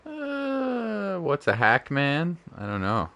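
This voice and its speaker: A man's voice: on the high side, huge pitch swings, slow, a bit bright. A man giving casual live game commentary as a streamer, with a slangy, joking, laid-back way of talking.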